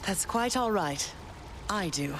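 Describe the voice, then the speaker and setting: An actress playing a calm, regal, forgiving underworld queen in a video game, poised and gently firm. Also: medium pitch, takes it slowly, a little hiss or hum. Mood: calm, wistful. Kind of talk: scripted video game voice acting. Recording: music behind the voice. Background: game music.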